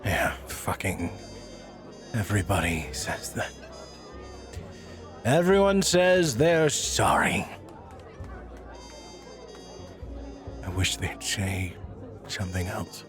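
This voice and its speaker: A drunken, grieving father in an audio drama. He talks slowly, with weary, profane sarcasm and deep bitterness, over prominent music. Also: very animated. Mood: bleak grief.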